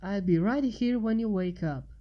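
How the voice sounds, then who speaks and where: A woman's voice: mid-range voice, normal speed, muffled recording, lightly acted. A woman voicing a caring, easily embarrassed young female pony in a storybook reading, with a hesitant, stammering manner. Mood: gently firm.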